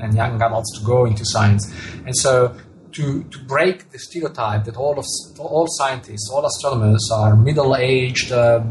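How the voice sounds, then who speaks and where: A man's voice: medium pitch, slight background noise, poor audio. A man, an author and theoretical cosmologist, answering interview questions thoughtfully with many repeats and restarts. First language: Italian.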